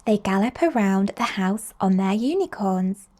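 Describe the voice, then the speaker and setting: A woman reading picture books aloud to young children in an expressive, slow storytelling manner. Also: medium pitch. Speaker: children's storybook reader and host.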